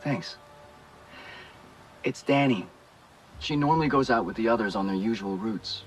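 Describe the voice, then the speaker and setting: A man's voice: mid-range voice, unhurried, slight background noise, close to an everyday voice, low-quality recording. An actor playing a worried, guarded but caring man in a video game, speaking hesitantly and conversationally. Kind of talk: naturalistic video game acting.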